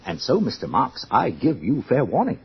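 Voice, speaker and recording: An actor playing a pompous older male director with a rambling, halting, officious manner in a radio drama. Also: mid-range voice, wildly swooping pitch, a little hiss or hum. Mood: stern, officious. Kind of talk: old-time radio drama acting. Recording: dull, muffled old radio recording.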